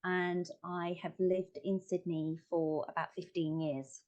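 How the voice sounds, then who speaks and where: Low-voiced woman, chatty. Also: little pitch movement, slow pace, darkish tone. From Manchester, England. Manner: chatty, many yeahs and self-corrections. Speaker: guest, family wellbeing coach. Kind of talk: casual interview chat.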